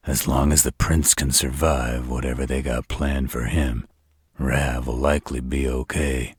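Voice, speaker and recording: A man voicing a gruff, world-weary, protective supernatural fighter in a roleplay audio drama, with a raspy, breathy voice and a deliberate, slangy way of talking. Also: rumbling low, a little mellow, no echo, studio quality, heavily acted. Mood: grave.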